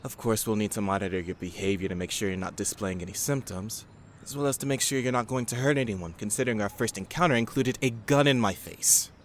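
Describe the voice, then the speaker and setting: A man's voice: lowish voice, fast talker, a little hiss or hum, close to an everyday voice. An actor playing an exasperated, earnest young man in an audio drama.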